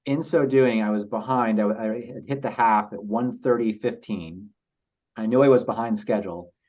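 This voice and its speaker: A man speaking as a podcast guest, telling personal stories in a halting, reflective and earnest way. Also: lowish voice. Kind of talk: casual interview storytelling. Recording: slightly dull lo-fi audio.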